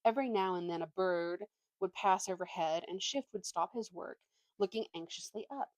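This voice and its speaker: A woman's voice: lowish voice, normal speed, no room sound, poor audio. Woman reading a storybook, very dry sound. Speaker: storybook reader. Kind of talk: expressive read-aloud narration.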